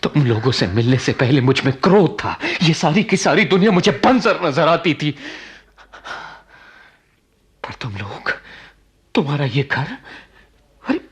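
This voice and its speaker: An actor playing a bitter, troubled young man in a Hindi radio play, with a halting, dramatic delivery that repeats phrases. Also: on the high side, sing-song, slightly rough. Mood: agitated, bitter. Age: young adult.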